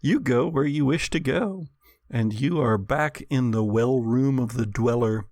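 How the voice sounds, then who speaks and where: A man acts as game master for a tabletop roleplaying game. He shifts from casual rulings to drawn-out, dramatic storytelling. His voice sits at a middling pitch in a very dry recording. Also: a little mellow, no room sound.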